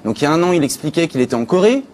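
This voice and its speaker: A man's voice: fairly high pitch, big pitch swings, rapid-fire, darkish tone, slight background noise. A man giving an informal spoken chronicle on a live talk show, as a news contributor. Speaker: contributor presenting internet news.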